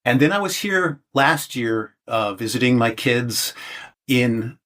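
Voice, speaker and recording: An older American man in his seventies, chatting as an interview guest at an even middle pitch. He talks in a halting way, stopping and restarting phrases with plenty of fillers. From United States. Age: 70s. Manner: hesitant, many restarts and fillers. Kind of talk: casual interview conversation.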